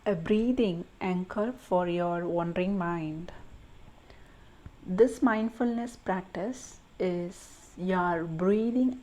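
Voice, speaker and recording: A woman leading a guided meditation in a gentle, soothing manner, speaking in short measured phrases with pauses between them. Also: lowish voice, poor audio.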